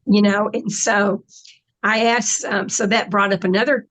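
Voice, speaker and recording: A woman's voice: medium pitch, steady tone, a little slow, fairly bright tone. A woman in casual conversation, explaining her spiritual channeling in a reflective way, with trailing phrases.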